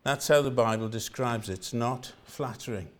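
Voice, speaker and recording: Older Welsh male preacher, earnest, repeats lines for emphasis, mid-pitched voice. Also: moderate pace. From Wales. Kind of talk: sermon.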